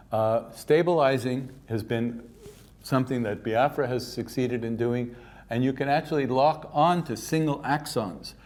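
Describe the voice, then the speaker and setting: An older man from Canada delivers a keynote lecture at a scientific conference. He talks in a lively, informal way and drifts into offhand asides, his voice sitting at a middle pitch. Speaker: keynote speaker.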